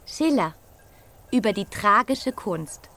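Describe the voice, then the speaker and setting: A woman recites scripted literary prose in a medium-pitched voice. Her delivery is measured and formal, like a quotation read within a radio play. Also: lively intonation, background noise. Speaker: reader. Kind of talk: scripted reading of a literary essay.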